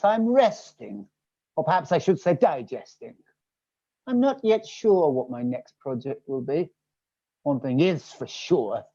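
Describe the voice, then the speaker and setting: A pompous actor of the old stage, performed by a woman, savouring each phrase slowly. The voice is low for a woman and rises and falls dramatically. Speech is ornate and declamatory, on a dull online call recording. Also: dark tone, heavily acted. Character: pompous theatrical thespian man named Roger, lofty and grandiose. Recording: muffled online call audio.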